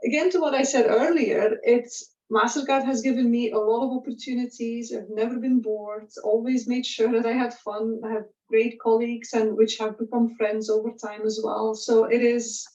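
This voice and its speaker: A middle-aged woman from Belgium, casually telling her story as a guest, haltingly and often checking in with small tag words. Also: fairly high pitch, fairly even intonation, warm tone. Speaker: guest, corporate professional. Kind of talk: casual personal career story. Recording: roomy, distant, dull lo-fi audio.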